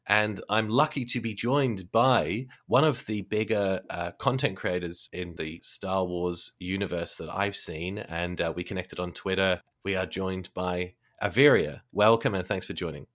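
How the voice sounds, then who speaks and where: A man's voice: sits low, a bit bright. A man hosting a casual interview podcast, chatting informally with a guest. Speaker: podcast host.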